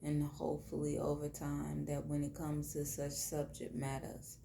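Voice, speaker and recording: A woman with a Southern US accent and a hoarse, tired voice, hosting an intimate late-night podcast monologue in deliberate, drawn-out phrases. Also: low-pitched, completely flat delivery, warm tone, echoey room, slight background noise. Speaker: podcast host.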